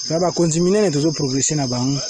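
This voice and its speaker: A man's voice: on the high side, fast. A man speaking as a soldier in a firm, declaring field statement outdoors in the bush. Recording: noisy outdoor field recording.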